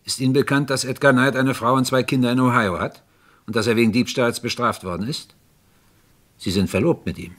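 An actor playing a courteous but insistent police inspector in a vintage German radio crime drama, measured and probing. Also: male voice, medium pitch, steady tone, a bit bright, natural voice. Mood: pressing, earnest.

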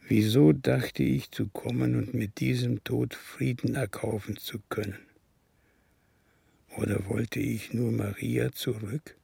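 An actor in a radio drama playing a bitter, brooding old communist haunted by guilt, speaking in a solemn, weighty way with a gravelly, husky voice. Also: male voice, lowish voice, dry recording, full character voice. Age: older. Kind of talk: radio drama acting with monologue.

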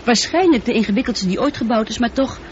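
An actress the playing a highly intelligent, humanlike female spaceship computer in a radio play, speaking in measured, articulate sentences. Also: lively intonation, crowd noise, natural voice. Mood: calm, analytical. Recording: dull noisy old radio play recording.

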